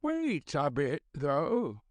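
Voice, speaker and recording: A man's voice: on the high side, huge pitch swings, extremely slow, no echo, heavily acted. A man voicing a crabbed but kindly old Scottish news editor, fussy and avuncular, in an audiobook. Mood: doubtful, fussy. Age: older. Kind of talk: audiobook character dialogue.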